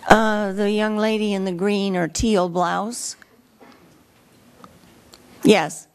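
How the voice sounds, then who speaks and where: Brisk woman chairing a meeting. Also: mid-range voice, steady tone, smooth. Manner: brisk, practical, gives instructions. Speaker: meeting moderator. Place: public meeting hall.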